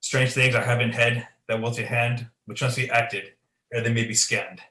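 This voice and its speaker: A man's voice: medium pitch, fairly even intonation, moderate pace, bright, forceful tone, lightly acted. A young man playing an ambitious, guilt-ridden king in a Shakespearean radio play, declaiming in a commanding, theatrical way. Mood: brooding, resolute. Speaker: student performer. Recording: echoey room.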